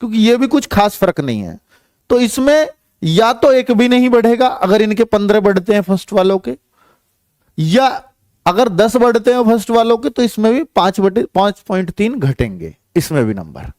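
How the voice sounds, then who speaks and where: A man's voice: sits high, sing-song, moderate pace, darkish tone, no echo. Guy teaching students, really up and down melody, dry close sound, keeps checking you get it. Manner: explanatory, repetitive, checks listeners follow with tag questions. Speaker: exam coaching teacher. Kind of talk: informal explanatory lesson to students.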